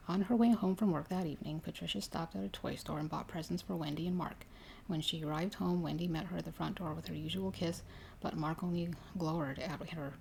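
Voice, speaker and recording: A woman reading a ghost story aloud in a hushed, measured ASMR style, with occasional stumbles. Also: sits low, gravelly, a little hiss or hum, low-quality recording. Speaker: story reader.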